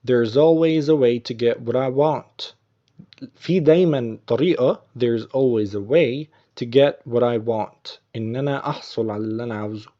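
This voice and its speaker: A man with an Egyptian accent teaching English, patiently repeating film lines slowly before explaining them. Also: medium pitch, dull audio. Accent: Egyptian Arabic.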